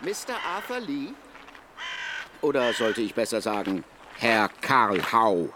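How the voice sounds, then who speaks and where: An actor in a German radio drama playing a scornful criminologist, sarcastic and accusing in a theatrical way. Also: male voice, medium pitch, sing-song, takes it slowly, background noise. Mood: mocking, probing. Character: scornful male criminologist confronting a suspected murderer.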